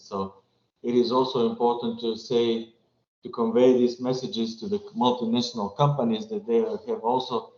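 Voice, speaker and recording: A man's voice: medium pitch, a little mellow. A man who speaks English as a second language, moderating a webinar in a formal, wordy and somewhat hesitant way. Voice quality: non-native English speaker. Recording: roomy, slightly dull webinar audio.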